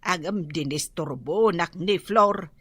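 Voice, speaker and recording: A woman's voice: fairly low pitch, slow, breathy edge, dry recording. A woman acting an aggrieved, gossipy village daughter in an Ilocano radio comedy drama, with an Ilocano accent and exclamatory delivery.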